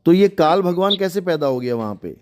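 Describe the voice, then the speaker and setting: A man's voice: fairly high pitch, lively intonation, brisk, smooth. A man in an argumentative religious debate, insistently explaining through rhetorical questions and cutting in. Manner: insistent, didactic, repeats rhetorical questions, interrupts. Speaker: religious debater taking a call.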